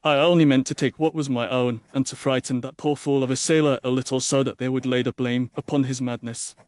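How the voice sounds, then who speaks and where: A man performs a young, obsessed villain of good family in a period mystery story. His middle-pitched voice is intense and bitter, with florid, impassioned phrasing. The sound is dry. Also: fairly even intonation, fairly quick, a little mellow, no echo, lightly acted. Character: fanatical, bitter young gentleman villain, unhinged.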